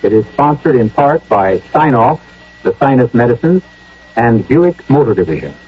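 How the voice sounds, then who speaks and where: A man hosting and narrating a radio mystery drama in a theatrical, measured, rhetorical style, on a muffled old broadcast. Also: mid-range voice, very animated, husky.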